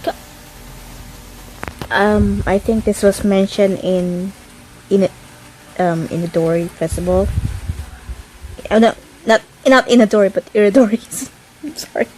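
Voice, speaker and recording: A woman doing casual playthrough commentary and reading game dialogue aloud, a little halting. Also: medium pitch, dark tone, a little hiss or hum, low-quality recording. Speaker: gaming video creator. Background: game music.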